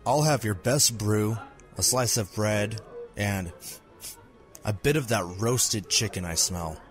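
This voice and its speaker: A man as a low-voiced, grudging bodyguard. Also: slow, faint music behind. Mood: matter-of-fact. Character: gruff, grudging male bodyguard, thrifty and loyal. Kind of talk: audiobook character dialogue.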